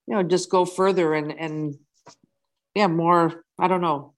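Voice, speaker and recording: A guest, a native Dutch speaker talking in English, shares reflections in a casual interview. The speech is halting and full of restarts, with a middle pitch and an earnest, searching pace. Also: steady tone.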